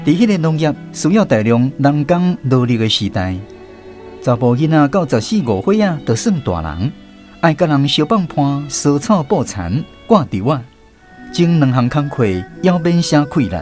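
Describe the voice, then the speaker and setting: Radio host guy narrating a story, lots of pitch movement, soft music underneath. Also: very animated, normal speed.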